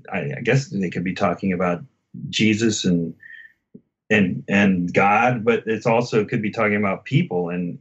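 A man with a fairly low voice talks casually as a podcast guest about an album. He halts and rephrases as he works toward his point. The recording is a little dull and lo-fi. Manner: halting, circling back to rephrase.

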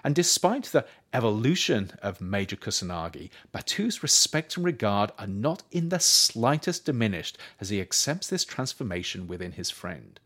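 A man's voice: lowish voice, fairly bright tone. Man narrating with widely swinging intonation. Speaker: author reading own book aloud. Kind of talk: scripted audiobook narration.

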